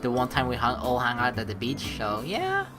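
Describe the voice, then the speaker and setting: A woman's voice: rumbling low, huge pitch swings, moderate pace, over music. A young woman doing a playful let's play, switching between casual commentary and theatrical narration read aloud.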